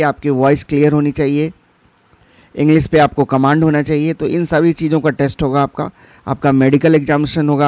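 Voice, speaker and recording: A man's voice: fairly high pitch, a little mellow, no echo. A man presenting an informational explainer, instructing viewers directly and mixing English terms into his speech. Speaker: exam-preparation channel host. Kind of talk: informational explainer for exam candidates.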